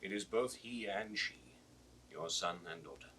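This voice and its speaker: An actor playing a loyal, plain-spoken servant, in firm, emphatic classical stage declamation. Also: male voice, sits low, expressive, normal speed, pushed and bright, slightly rough, full character voice. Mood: stubborn. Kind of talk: classical Shakespearean stage acting. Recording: distant, hissy 1960s audio drama recording.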